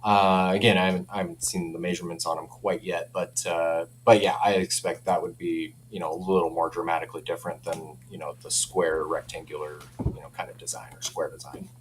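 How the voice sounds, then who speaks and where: A man's voice: deep voice, flat delivery, brisk, few pauses, a little hiss or hum. A man speaking as an audio company representative in a casual, informal product chat.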